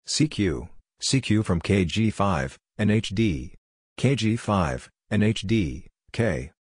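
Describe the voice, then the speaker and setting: A man narrating code practice, reading short scripted phrases in a clipped, deliberate way and spelling out letters and numbers. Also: on the low side, lively intonation, husky, dry recording, clean studio sound.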